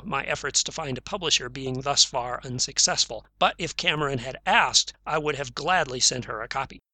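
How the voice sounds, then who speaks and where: A man reading a written review aloud in a measured, formal way, saying the quotation marks out loud. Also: a little high, no echo.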